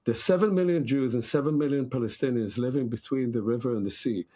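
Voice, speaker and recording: An older man from Israel, a scholar answering interview questions in a deliberate, analytical way, pausing often on fillers and repeating words. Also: medium pitch, mellow.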